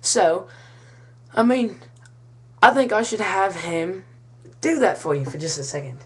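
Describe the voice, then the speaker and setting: A woman's voice: lowish voice, expressive, a little slow, slightly rough. A woman from the United States telling a rambling, mock-serious comic monologue in a put-on British-Australian accent. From United States, Kansas. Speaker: comic storyteller.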